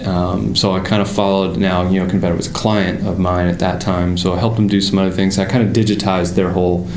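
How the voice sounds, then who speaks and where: Deep-voiced man with a Pittsburgh accent. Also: fast talker, reverberant, a little hiss or hum. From Pittsburgh area, United States. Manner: relaxed storytelling with many fillers and restarts. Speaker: motorcycle designer, guest. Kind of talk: casual interview conversation.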